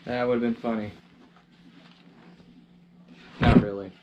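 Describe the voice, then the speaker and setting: A man with a medium-pitched voice talks casually through a hands-on job, often muttering to himself in fragments. The sound is dull and lo-fi, with clear noise behind him. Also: little pitch movement, takes it slowly, darkish tone. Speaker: DIY vlogger. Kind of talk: casual hands-on commentary while working. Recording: noisy, dull lo-fi camera audio with clicks.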